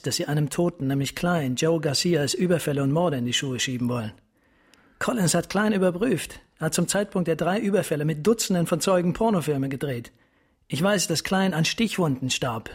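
A man playing a grave, resigned police captain in a radio drama, speaking deliberately and formally with a husky, commanding voice. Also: a little high.

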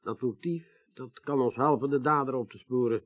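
An actor playing a courteous, methodical police detective in a radio drama, asking careful, polite, slightly hesitant questions. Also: male voice, mid-range voice, moderate pace, lightly acted. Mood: polite, probing. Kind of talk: naturalistic 1980s radio drama acting.

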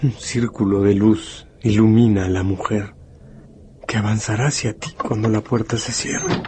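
An actor playing a grave, intimate male narrator who addresses the hero directly in a dramatic, deliberate radio-novel style. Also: lowish voice, steady tone, background noise, close to an everyday voice. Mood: intimate, suspenseful.

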